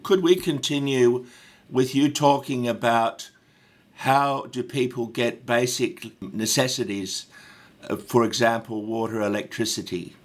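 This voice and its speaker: A man asks an interviewee calm, measured questions in a radio interview. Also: mid-range voice.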